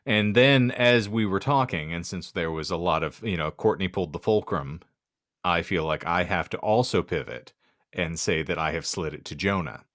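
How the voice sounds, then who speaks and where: Male podcast co-host, low voice, dry close sound, wry and wordy, irreverent casual banter. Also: moderate pace, a bit bright, no room sound.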